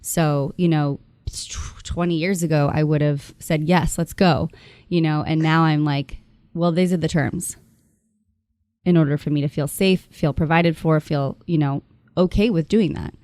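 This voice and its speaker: A woman of about thirty with a low, mellow voice, recorded close and dry with no room sound. She hosts a podcast and talks thoughtfully, rambling and filling pauses with fillers. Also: steady tone, no room sound. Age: 30s. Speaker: podcast host. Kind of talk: casual conversation.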